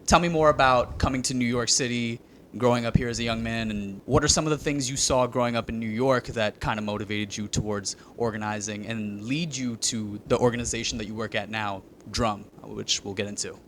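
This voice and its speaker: A man hosting an interview podcast, asking questions in a measured, articulate way. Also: medium pitch, fairly even intonation, a bit bright, slight background noise. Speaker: podcast host.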